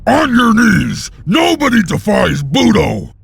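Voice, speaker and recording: A man acting a brutish, sneering slave overseer in a video game, with a raspy, gravelly voice and a taunting manner. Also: high voice, huge pitch swings, takes it slowly, soft background music, exaggerated voice. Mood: bullying.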